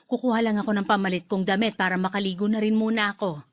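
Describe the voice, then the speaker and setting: An actress playing a brisk, chatty middle-aged mother-in-law with a Filipino accent in a radio drama. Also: mid-range voice, steady tone, no echo, clean studio sound, close to an everyday voice. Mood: fussy, resigned.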